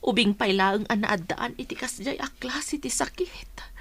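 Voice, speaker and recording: An actress playing a worried, long-suffering middle-aged mother in a radio drama, breathy and husky, with an Ilocano accent. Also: fairly high pitch, a little slow, fairly bright tone.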